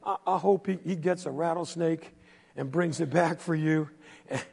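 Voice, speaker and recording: Man doing a stern quiet old man. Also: fairly high pitch, normal speed, vocal fry, slightly rough. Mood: scolding. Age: older. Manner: scolding.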